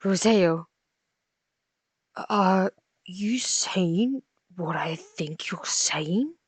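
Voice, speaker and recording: A woman's voice: on the low side, expressive, extremely slow, breathy edge, no room sound, exaggerated voice. A woman playing a tender, resolute male monster in an earnest, solemn amateur audio romance drama. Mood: stunned.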